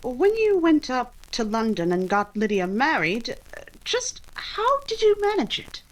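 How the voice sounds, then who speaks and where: Woman playing a spirited young gentlewoman, very expressive. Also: high voice, unhurried, heavily acted, poor audio. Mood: probing. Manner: crisp, indignant, articulate. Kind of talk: old-time radio romance acting.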